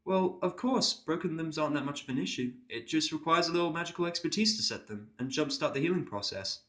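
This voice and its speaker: A man's voice: fairly high pitch, expressive, fast talker, fairly bright tone, voice pushed up. A man voicing a polite, timid female nurse pony, earnest and deferential, in an amateur audio drama. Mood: matter-of-fact. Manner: deferential, explanatory.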